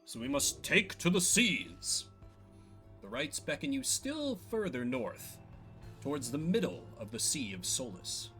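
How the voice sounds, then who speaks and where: A man's voice: mid-range voice, big pitch swings, a little slow, bright, forceful tone, soft background music. A man voicing a stern, solemn character from a video game, reading out the dialogue.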